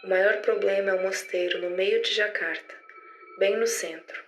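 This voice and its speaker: A woman's voice: medium pitch, steady tone, moderate pace, close to an everyday voice. A Brazilian woman playing a frightened agent in an audio drama, reporting a catastrophe. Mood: grave, tense. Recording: echoey, noisy room with ambience.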